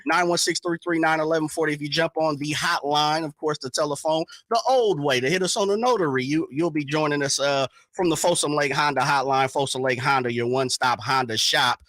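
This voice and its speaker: A man co-hosts a sports radio show, loose and full of slang, hyping things up in a playful way. His voice is bright and forward. The studio sound is dry and close. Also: a little high, fairly even intonation, a little fast, hardly any pauses, dry recording. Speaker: radio co-host.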